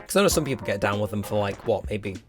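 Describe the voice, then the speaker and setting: A young man with a slightly hoarse, strained throat, rambling casually with lots of fillers while commenting on a game. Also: lowish voice, expressive, quick pace. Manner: rambling, filler-heavy, self-mocking. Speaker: let's play host. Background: game music.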